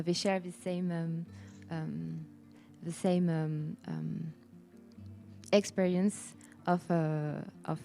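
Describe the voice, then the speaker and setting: Halting woman with a mellow voice. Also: on the low side. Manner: halting, many fillers.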